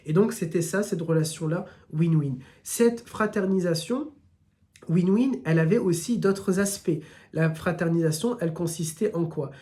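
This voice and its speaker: Southern french guy teaching a live class, warm mellow voice, piles up lists, talks right to you. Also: sits high, moderate pace. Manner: builds points through repeated lists, speaks straight to listeners. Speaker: Islamic teacher. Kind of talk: live religious lesson.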